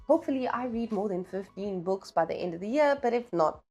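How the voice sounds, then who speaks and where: A woman's voice: medium pitch, lively intonation, fairly quick, mellow, soft background music. A woman talking casually in a book vlog, chatty and playful, with slangy, self-deprecating asides. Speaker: book vlogger.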